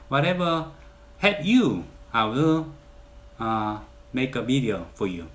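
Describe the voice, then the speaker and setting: A man in his forties from South Korea with a Korean accent, speaking earnestly and in measured phrases to camera as he introduces his channel as a realtor, in a bright indoor room. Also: medium pitch. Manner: earnest, measured, with stressed lifts on key words.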